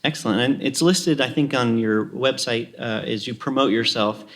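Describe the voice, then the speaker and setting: A man with a medium-pitched voice works as a co-host. He interviews panelists in a casual, conversational style. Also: normal speed, fairly bright tone. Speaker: show co-host.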